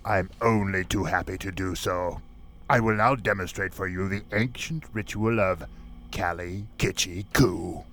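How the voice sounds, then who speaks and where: A man's voice: deep voice, fairly even intonation, a little slow, fairly bright tone. A man playing a surly, menacing alien warrior officer in a comic radio play. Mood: gruff relish. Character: surly, menacing alien warrior lieutenant, male, relishing violence. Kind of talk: parody radio play acting.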